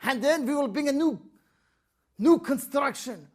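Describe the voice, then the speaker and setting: A woman voicing a loud, stern male governor shouting commands in a told story. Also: high-pitched, expressive, measured pace. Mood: commanding.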